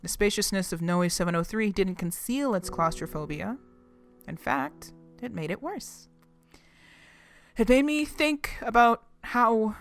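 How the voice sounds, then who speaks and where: A woman's voice: a little high, a bit bright, no echo. An actress playing a reflective, homesick refugee woman reading her secret diary in an audio drama, confiding and wry. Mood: wry, pensive.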